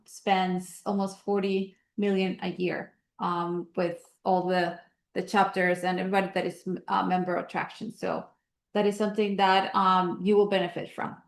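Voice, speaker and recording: Colombian-accented woman in a roomy space. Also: medium pitch. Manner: casual, filler-heavy, upbeat promoting. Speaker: investor association host. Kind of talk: announcements at an online meeting.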